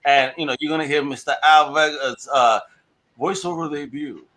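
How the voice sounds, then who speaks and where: A man in his forties hosts a casual podcast chat, laughing easily between remarks. His voice sits a little high with a bright, forceful edge. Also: normal speed. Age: 40s. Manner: animated, laughing, signs off with a Spanish phrase. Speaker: podcast host.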